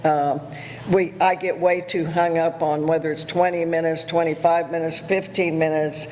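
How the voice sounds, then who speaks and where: A man's voice: on the high side, level tone, moderate pace, darkish tone, in a large hall, slight background noise. A man pastor welcoming a guest speaker to the pulpit in an informal way. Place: church sanctuary.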